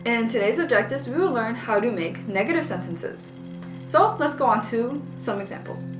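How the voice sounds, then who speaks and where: Young woman teacher going through whiteboard examples, kinda high voice, roomy echo. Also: lively intonation, normal speed, music in the background. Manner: clear, patient explaining, often pointing at a whiteboard. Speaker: language teacher. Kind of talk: scripted lesson narration.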